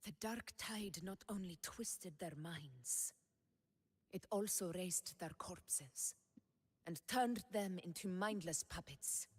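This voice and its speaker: A reverberant game-drama voice of a commanding noblewoman at war. She speaks slowly and formally, with a husky edge and a solemn, unbending temper. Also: fairly low pitch, full character voice. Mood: grave, bitter. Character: noble woman warrior commander, resolute and solemn leader. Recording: long hall reverb.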